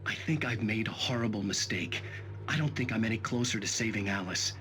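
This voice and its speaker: A man's voice: lowish voice, fairly quick, music in the background, lightly acted. An actor playing a troubled, haunted novelist, narrating his own story somberly in a video game. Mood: haunted, anguished. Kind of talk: somber first-person scripted narration. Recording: clear noise under the voice.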